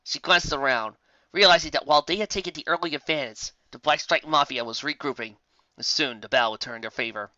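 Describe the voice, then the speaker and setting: An expressive narrator reading a scripted story aloud with dramatic delivery, on a lo-fi recording. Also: medium pitch, quick pace, no room sound. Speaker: fan fiction reader. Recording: dull lo-fi close recording.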